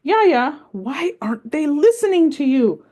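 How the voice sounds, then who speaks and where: A woman voicing a curious, excited six-year-old girl in a read-aloud story, asking question after question. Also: measured pace. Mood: eager. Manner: high-pitched, eager questioning.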